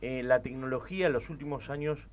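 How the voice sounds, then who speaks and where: A man's voice: medium pitch, fairly quick. An Argentine man hosting a casual interview, talking emphatically and piling up synonyms as he rephrases. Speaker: interviewer, show host.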